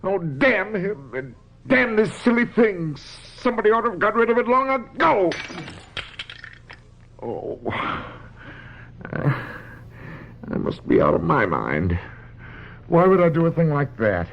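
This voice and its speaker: An actor playing a devoted, impassioned psychiatrist with an intense, emotive delivery in a radio drama. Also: male voice, high voice, sing-song, measured pace, creaky, long pauses, full character voice. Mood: anguished outburst. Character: devoted, impassioned male psychiatrist in love with his patient. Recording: dull vintage radio, faint hiss.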